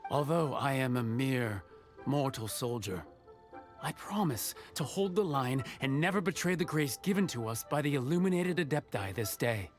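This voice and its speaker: An actor playing a loyal, dutiful soldier sergeant in a video game, speaking formally and earnestly. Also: male voice, medium pitch, lively intonation, normal speed, soft background music, close to an everyday voice.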